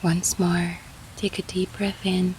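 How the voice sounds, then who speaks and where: Slow, hushed woman guiding sleep meditation. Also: fairly low pitch, level tone, a little hiss or hum, rough recording. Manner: soft, breathy, half-whispered, soothing. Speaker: guided sleep meditation host. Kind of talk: guided sleep meditation narration. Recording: faint rain sound behind. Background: soft rain.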